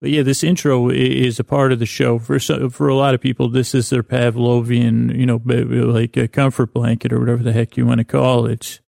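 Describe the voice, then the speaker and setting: A man hosting a bedtime podcast, improvising in a halting, meandering monologue that trails off and repeats words, with a gravelly voice. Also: medium pitch, level tone, mellow, dry recording, studio quality. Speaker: podcast host.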